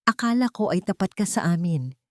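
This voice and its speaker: A woman's voice: medium pitch, expressive, brisk, darkish tone, no echo, clean studio sound, pitched above the performer's own voice, close to an everyday voice. A woman with a Filipino accent voicing a bitter, heartbroken wealthy man in an audiobook, sounding pained.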